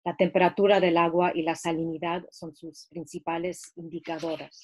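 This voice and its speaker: Low-voiced woman reading her prose. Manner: steady, measured reading. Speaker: writer reading from her book. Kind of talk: reading aloud from her own book. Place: at home. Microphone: video call.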